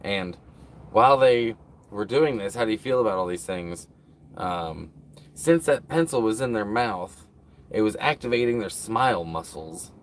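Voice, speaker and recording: A man's voice: fairly low pitch, expressive, a little slow, a little hiss or hum. A man hosting a casual livestream, rambling to his viewers with lots of fillers. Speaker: livestream host.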